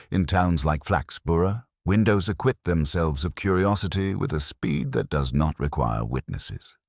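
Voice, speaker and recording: A man reading a literary detective story as narrator, with a measured, dry and formal delivery. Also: a bass voice, darkish tone, no room sound. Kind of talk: scripted literary audiobook narration.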